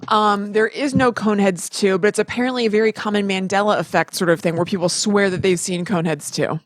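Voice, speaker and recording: A woman co-hosting a podcast in casual, comedic chat, with a chatty, animated manner. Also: mid-range voice, fast, no echo. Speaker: podcast co-host.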